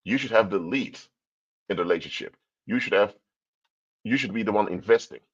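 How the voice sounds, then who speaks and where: A man's voice: lowish voice, a little fast, husky, highs cut off. A man from Curaçao, giving a livestream talk in a lecturing tone full of rhetorical questions. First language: Papiamento. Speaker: commentator. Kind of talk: livestream commentary.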